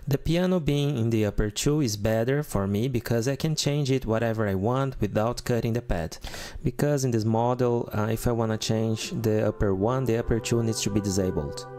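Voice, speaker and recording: A man's voice: medium pitch, normal speed. Portuguese-speaking man explaining keyboard settings. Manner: calm step-by-step explaining with fillers. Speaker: keyboard tutorial host. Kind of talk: instructional walkthrough.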